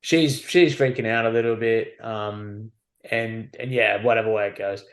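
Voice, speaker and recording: A man's voice: lowish voice, unhurried. Aussie guy spinning yarns about army days on a podcast, laid back, does the voices. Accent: Australian. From Australia. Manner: relaxed, candid, acts out quotes. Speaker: guest, army veteran. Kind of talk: casual storytelling chat.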